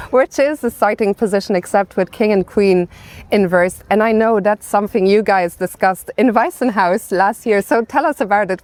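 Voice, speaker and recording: A woman's voice: medium pitch, a little mellow. A woman interviewing a player after a game, asking questions in a conversational broadcast style. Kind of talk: post-game sports interview.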